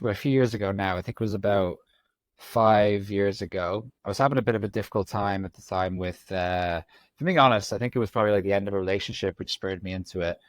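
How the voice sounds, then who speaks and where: A man in his forties with an Irish accent, from Ireland, telling his story as a podcast guest in a reflective, halting way. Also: on the low side, no echo. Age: 40s. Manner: reflective, frequent ums and restarts. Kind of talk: candid conversational storytelling.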